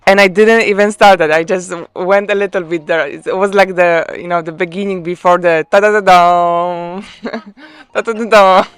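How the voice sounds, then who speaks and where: A woman from Poland with a Polish accent, hosting a live online interview and speaking hesitantly with many pauses. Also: lowish voice, fairly even intonation, clean tone, no echo. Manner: hesitant, many ums and restarts. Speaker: host.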